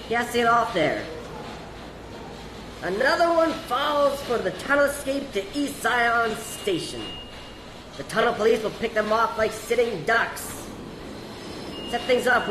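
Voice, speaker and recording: A man's voice: sits high, noisy background. An actor playing a scheming male squad leader who hunts fugitives, giving gloating, threatening orders over the phone in an amateur radio play. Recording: roomy echo with music underneath.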